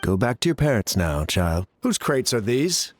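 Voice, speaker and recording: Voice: medium pitch, big pitch swings, moderate pace, slight background noise, voice pushed down, close to an everyday voice. A performer voicing a stern male game sheriff, authoritative and earnest. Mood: stern, scolding. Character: stern male village sheriff, scolding yet grateful.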